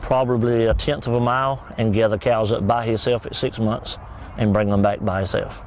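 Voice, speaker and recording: A man's voice: fairly low pitch, level tone, moderate pace, a little mellow, a little hiss or hum. A man from North Carolina with a Southern American accent, a horse trainer answering interview questions politely and plainly outdoors. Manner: polite, plainspoken, many "yes, sir"s. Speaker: horse trainer and dog breeder guest. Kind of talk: casual interview.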